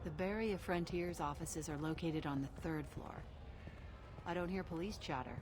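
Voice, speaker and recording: A woman's voice: on the low side, moderate pace, background noise, lightly acted. An actress playing a brash, self-assured female alien special agent in a video game, sardonic, dry and commanding. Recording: music playing behind the voice. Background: game soundtrack music.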